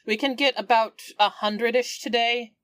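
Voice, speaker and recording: A woman's voice: a little high, steady tone, moderate pace, a bit bright, clear, resonant tone, no echo. A woman talking casually as a player in a tabletop game.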